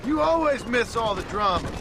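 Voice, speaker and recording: Weary male gunslinger, American Western accent, heavy vocal fry, terse, sardonic, stern, game cutscene, noisy background. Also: very high voice, animated, normal speed. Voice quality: gravelly. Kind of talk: video game cutscene acting. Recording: noisy game effects behind.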